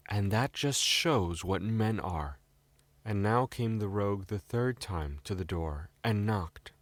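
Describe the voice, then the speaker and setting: Low-voiced British man telling a fairy tale. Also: animated, slow pace, no room sound. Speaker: storyteller. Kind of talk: scripted fairy-tale narration.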